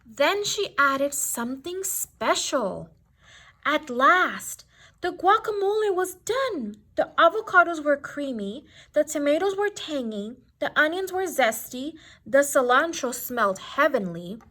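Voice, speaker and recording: Woman, children's storybook reader, expressive, very lively intonation, bright tone, dramatic phrasing. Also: high voice, measured pace.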